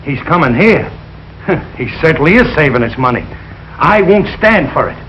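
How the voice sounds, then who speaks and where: Blustery suspicious dad on old radio comedy, blunt bad grammar, swoopy pitch, noisy recording. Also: male voice, very animated, moderate pace, fairly bright tone, muffled recording. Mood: indignant, stubborn. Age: middle-aged. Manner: ungrammatical, exasperated, blunt. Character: blustering, suspicious working-class father of a teenage daughter. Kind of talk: 1940s radio sitcom acting.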